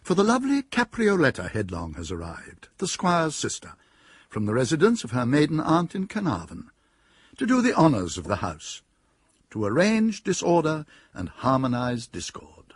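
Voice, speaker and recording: A man's voice: on the high side, wildly swooping pitch, normal speed, breathy edge, dry recording. A man narrating a radio drama in a dry, wry storytelling manner.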